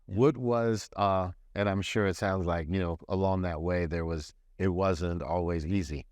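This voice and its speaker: A very deep-voiced man hosts an interview and asks his guest questions. He talks haltingly and often starts over mid-sentence. The sound is dry and close to the mic. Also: steady tone, a little mellow, no echo. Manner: halting, many restarts and fillers. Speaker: podcast host.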